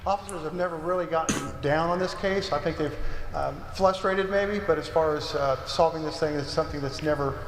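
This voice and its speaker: A man's voice: on the high side, a little fast, soft background music. A man, a police official, speaking insistently in press remarks. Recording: distant echoey hall clip.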